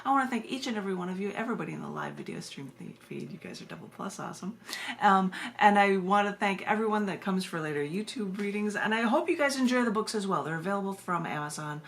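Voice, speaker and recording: A woman's voice: mid-range voice, expressive, fast talker, gravelly, fairly bright tone. An American woman hosting a live online poetry reading, sharing poems and casual asides with her viewers. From United States. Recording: noisy live video stream.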